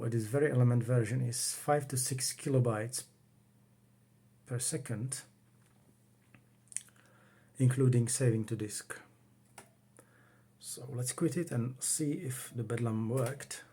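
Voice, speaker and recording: A man from the Czech Republic, speaking English with a Czech accent, calmly walking through a home computer demo in his workroom. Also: medium pitch, a little hiss or hum. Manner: unhurried, plain, talks while working the computer. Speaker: retro computing hobbyist. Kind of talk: casual home demo walkthrough.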